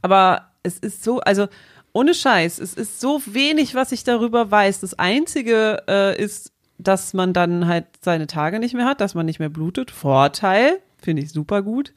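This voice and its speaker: A woman's voice: mid-range voice, expressive, unhurried. Casual woman in her forties, chatting informally. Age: 40s. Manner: informal, colloquial with some English slang. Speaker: co-host. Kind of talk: casual conversational explaining.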